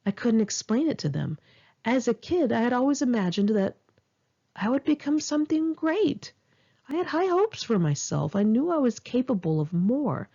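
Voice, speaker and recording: Expressive, husky woman reading memoir. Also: a little high, normal speed, muffled recording. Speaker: author reading own memoir. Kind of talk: scripted memoir narration for an audiobook, read in one take.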